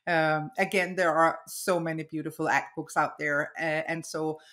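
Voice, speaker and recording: A woman's voice: sits low, flat delivery, moderate pace, fairly bright tone. A woman whose first language is Danish, a therapist and author talking casually as an interview guest, often laughing and repeating words.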